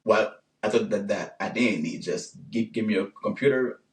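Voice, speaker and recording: A man in his forties with a Haitian accent, answering interview questions about his engineering career in an unhurried way, with many pauses and fillers. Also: fairly low pitch. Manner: unhurried, many "um"s and repeated words, short laughs. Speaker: guest, engineer and business owner. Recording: roomy sound, mic at a distance.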